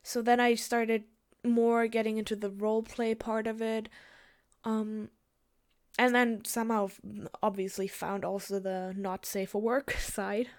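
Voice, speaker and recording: Casual chat from a young German woman with a lisp and a German accent, speaking in a fairly high voice. she hesitates a lot and laughs often while answering questions from her listeners. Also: flat delivery, a little slow. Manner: hesitant, giggly, lots of fillers.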